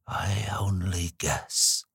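A man voicing a frail, very old woman nurse in a cracked, whispery little voice, speaking slowly in a storybook reading. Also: low voice, gravelly, breathy edge, dry recording, clean studio sound, full character voice. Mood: hushed. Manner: slow, hushed.

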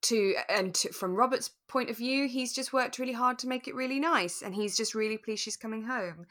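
A woman's voice: a little high, a little fast, fairly bright tone. A woman co-hosting a podcast, chatting casually and sympathetically about a radio drama in a reflective way. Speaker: podcast co-host.

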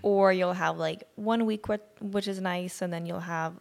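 A woman's voice: lowish voice, steady tone, normal speed, vocal fry, a little mellow. A young woman from Sweden, a native Swedish speaker, chatting casually and frankly on a podcast. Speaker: guest. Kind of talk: casual chat.

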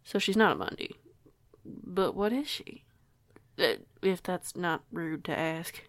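A woman's voice: on the low side, very animated, slow, dry recording, heavily acted. A woman playing a flustered, curious young newcomer in an audio roleplay, with a hesitant, rambling way of talking. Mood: puzzled, concerned. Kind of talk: audio roleplay acting, casual dialogue.

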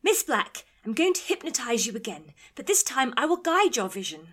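A woman's voice: a little high, sing-song, normal speed, fairly bright tone. A woman playing a polite, clever, slightly hesitant helper in an audio drama, formal and careful in speech. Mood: hesitant, earnest.

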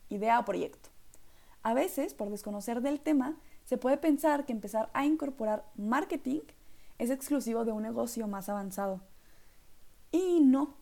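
A young woman from northern Mexico hosts her own podcast, speaking with a clear northern Mexican accent. Her voice sits a little high but has a mellow, gravelly fry to it. She talks in a loose, chatty way, circling back with fillers and asides. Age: young adult. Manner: chatty, rambling, lots of fillers like "literal" and "¿saben?". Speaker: podcast host. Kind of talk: casual solo podcast monologue.

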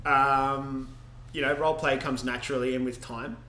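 Guy cohosting a podcast, bright tense voice, laughs a lot, roomy echo. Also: medium pitch, normal speed, slight background noise. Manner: laughs often, relaxed storytelling. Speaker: podcast co-host. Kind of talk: casual chat.

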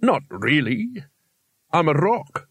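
A talking rock , voiced by a male storybook reader, speaks in an unhurried, conversational way. It is calm and helpful, with deadpan delivery and no room sound. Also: on the high side, husky, dry recording, studio quality, voice pushed up, full character voice. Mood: mildly amused, deadpan.

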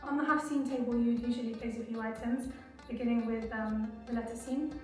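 Young woman from england, kinda monotone, lots of ums, echoey room, music under it. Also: on the high side, fairly quick. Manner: hesitant, many ums, soft and thoughtful. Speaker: interviewee. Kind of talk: casual explanation to camera. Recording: roomy, distant mic, music underneath.

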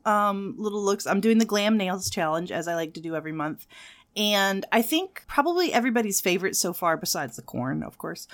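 A woman co-hosting a podcast in casual chat, laughing often as she talks. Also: mid-range voice. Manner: giggly, animated, laughs often. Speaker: podcast co-host.

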